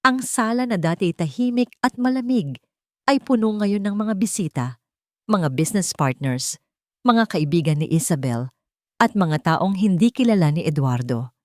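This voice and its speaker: A woman's voice: fairly low pitch, animated, normal speed, no echo, clean studio sound. A woman with a Filipino accent narrating a dramatic scripted story. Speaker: narrator of love stories.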